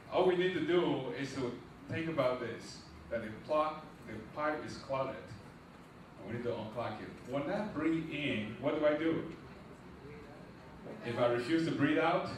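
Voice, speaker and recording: A middle-aged man delivers a rousing, sermon-like speech, tossing questions at the audience and climbing to loud, emphatic peaks. His voice is caught from a distance in a big hall that rings with echo. A steady hiss of noise sits underneath. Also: a little high. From Liberia. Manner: preacherly, asks the crowd questions and rises to loud emphatic peaks. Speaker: nonprofit founder giving a launch speech. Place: large echoey event hall. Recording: echoey hall, distant mic, noisy.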